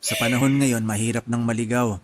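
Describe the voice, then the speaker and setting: An actor in a radio drama playing a soft-spoken, laid-back young male traveler who casually mixes English into his Tagalog. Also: medium pitch, fairly even intonation, a little fast, heavy background noise, close to an everyday voice. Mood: easygoing.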